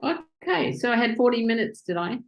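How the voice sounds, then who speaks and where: A woman interviewing virtual assistants on a video call, excitable and quick to speed up when she gets going. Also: on the high side, dull audio.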